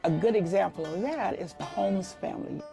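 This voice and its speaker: A woman's voice: mid-range voice, moderate pace, creaky. A woman explaining a piece of history as a documentary interviewee. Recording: guitar music behind the voice.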